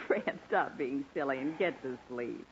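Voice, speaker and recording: Muffled and a little noisy, like old radio, this is a middle-aged wife and mother. She is patient but firm, soothing and gently scolding, with dramatically sweeping pitch in 1940s comedy style. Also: huge pitch swings, slow pace, heavily acted.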